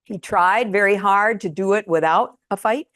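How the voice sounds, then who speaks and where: A woman's voice: mid-range voice, moderate pace, fairly bright tone, no echo, studio quality. Retired older woman giving a lecture. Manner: conversational, frequent fillers and restarts. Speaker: guest lecturer. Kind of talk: casual lecture to an audience. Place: lecture room.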